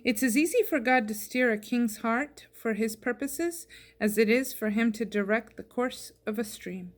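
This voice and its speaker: A woman with a fairly high voice reads scripture aloud. She phrases each verse plainly and clearly, as in an informal devotional reading. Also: normal speed. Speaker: scripture reader.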